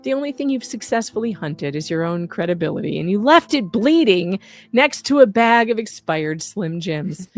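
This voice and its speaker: A woman delivers a scripted takedown with theatrical sarcasm and open mockery. Her pitch sits in a medium range for a female voice, and faint music plays underneath her reading. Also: very animated, normal speed. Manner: often laughs while reading. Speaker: host reading an open letter. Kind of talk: sarcastic scripted commentary read aloud.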